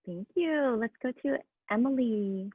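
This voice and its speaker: A woman's voice: fairly high pitch, expressive, measured pace, husky, no room sound. A woman teacher moderating a class video call, speaking casually and encouragingly as if to young children. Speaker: elementary school teacher moderating questions. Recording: tinny, phone-like video call audio.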